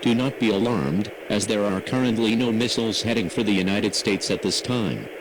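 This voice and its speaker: A man reading a scripted emergency broadcast announcement in a formal, measured, impersonal manner. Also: on the low side. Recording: noisy emergency radio broadcast audio.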